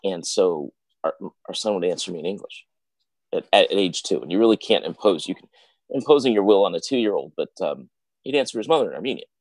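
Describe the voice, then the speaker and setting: A man's voice: sits low, lively intonation, brisk, no echo, poor audio. A man on a live panel, a father, talking in a reflective way about his own family's experience with languages. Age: adult parent.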